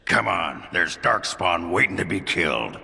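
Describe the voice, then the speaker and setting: An actor playing a crude, boozy, gruff dwarf warrior in a video game, with a gravelly growl and blunt, slurred delivery. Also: male voice, low voice, very animated, a little slow, pushed and bright, big-room sound, exaggerated voice. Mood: resigned, gruff.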